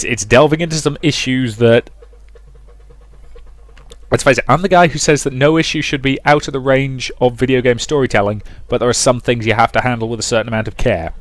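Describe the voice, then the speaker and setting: A man hosting a let's play, reading the game's story aloud and commenting on it casually. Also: mid-range voice. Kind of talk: casual game commentary and dramatic read-aloud narration. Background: music.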